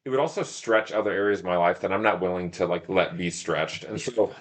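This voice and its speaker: A man with a fairly low-pitched voice is a guest in a casual podcast interview. He tells stories in a loose, rambling way, often thinking aloud and talking himself down a little. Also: fairly quick. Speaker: podcast guest, ultramarathon runner and shop owner. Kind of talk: casual interview talk, telling stories about racing.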